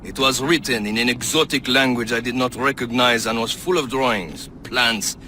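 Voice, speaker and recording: An actor playing a brisk, worldly colonial-era man in a video game, speaking in a confident, declarative way. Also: mid-range voice, background noise, lightly acted. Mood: informative. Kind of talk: dramatic video game cutscene acting.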